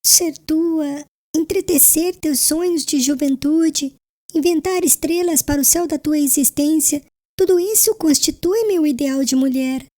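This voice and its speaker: Woman acting gentle young fiancée, audiobook drama, resigned and loving, high mellow voice, formal earnest diction. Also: unhurried. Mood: tender, wistful.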